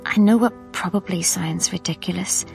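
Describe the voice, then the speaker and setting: An actress in a radio play voicing a loving, bereft woman with a hushed, breathy, tender delivery. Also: lowish voice, normal speed, faint music behind. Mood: quiet grief. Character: grieving young woman, loving, quietly bereft. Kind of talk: radio play acting, intimate dialogue and narration.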